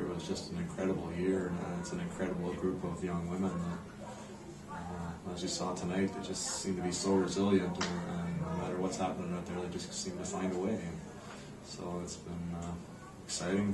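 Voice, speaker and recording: A North American man in his forties, a coach answering post-game questions in a measured, understated way with hesitant pauses. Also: deep voice, completely flat delivery. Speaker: head ice hockey coach. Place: indoor press room in front of a backdrop. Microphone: camera microphone at a distance. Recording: roomy, distant mic, clear background noise.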